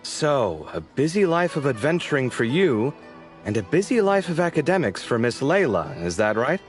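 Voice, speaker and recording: An actor playing a friendly, chatty young man in a video game, expressive and given to theatrical exasperation and sarcasm. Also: mid-range voice, normal speed, faint music behind, close to an everyday voice. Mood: friendly, helpful. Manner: animated, expressive, apologetic then sarcastic.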